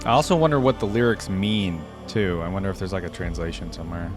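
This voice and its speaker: A man's voice: deep voice, fast. A man giving casual, exclamatory reaction commentary as an informal video host, with game theme music behind him.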